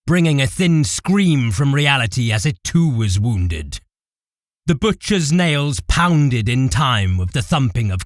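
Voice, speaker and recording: A male narrator delivers a dramatic script with intensity. His mid-pitched voice moves expressively up and down, recorded very dry and close. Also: dry recording.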